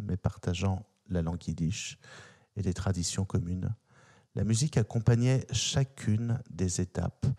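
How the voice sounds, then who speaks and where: A man hosting a radio show, reading liner notes and a quoted text in a measured, deliberate way. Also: low-pitched, warm tone. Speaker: radio host. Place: radio studio.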